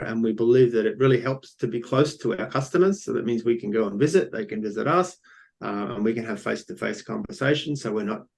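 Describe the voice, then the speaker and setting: An older man with an Australian accent presents his engineering company at a pitch event, explaining in a loose, unscripted way with frequent ums and restarts.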